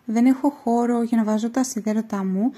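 A woman's voice: fairly high pitch, steady tone, fast, mellow, slight background noise. A young woman from Greece giving a chatty, homely voice-over for a cleaning vlog. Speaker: home vlogger.

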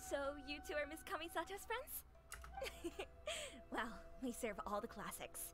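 Friendly eatery owner, fairly high voice. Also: female voice, huge pitch swings, measured pace, music in the background, full character voice. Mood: welcoming. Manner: easygoing, neighborly, confiding. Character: friendly, chatty woman running a humble eatery. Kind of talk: video game voice acting.

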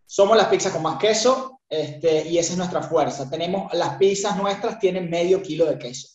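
A man's voice: high-pitched, moderate pace, darkish tone. A Venezuelan man in his thirties explaining his business in an interview, with "este" as his filler. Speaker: guest, entrepreneur. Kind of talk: interview answers. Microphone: webcam on a video call. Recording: roomy, slightly dull video-call audio.

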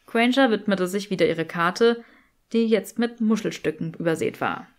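A woman's voice: medium pitch, normal speed. Casting: female audiobook narrator for scripted fan fiction, mid-range voice.

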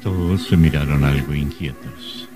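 An actor as the grave storyteller narrating a suspense tale in a radio drama. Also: male voice, extremely low pitch, measured pace, over music. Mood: grave, suspenseful.

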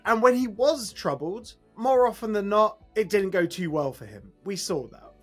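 A man in his thirties giving a blunt, emphatic, exasperated fan reaction to camera after a football match, with a husky voice. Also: sits high. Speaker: football fan channel host. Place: small room at home, indoors.

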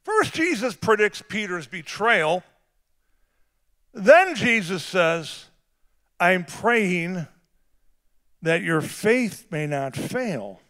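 A middle-aged male pastor with a big voice, preaching a sermon that swings from quiet, conversational asides to shouted, drawn-out emphasis. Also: on the high side. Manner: drawn-out emphatic phrasing on key words.

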